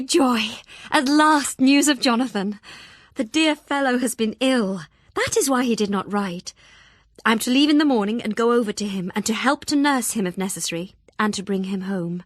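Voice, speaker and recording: A woman's voice: a little high, no echo, clean studio sound, natural voice. An actress playing a devoted, anxious young Victorian woman reading her journal and letters aloud in an audio drama, earnest and tender. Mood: joyful.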